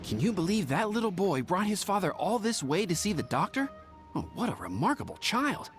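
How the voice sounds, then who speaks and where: An actor voicing a cheerful, chatty pharmacy helper in a video game. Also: male voice, sits high, very animated, brisk. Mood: friendly. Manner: friendly and chatty. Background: soft game music.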